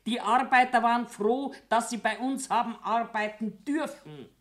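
A woman's voice: fairly high pitch, normal speed, lightly acted. A woman playing a proud, nostalgic older wife in a German radio play.

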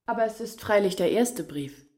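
An actress playing a grave woman recalling her family's hopeless years in a measured radio drama monologue. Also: mid-range voice, expressive, a little mellow, lightly acted.

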